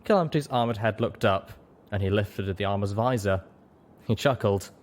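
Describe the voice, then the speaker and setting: A man's voice: on the low side, moderate pace. A man reading scripted first-person story narration as an audiobook narrator.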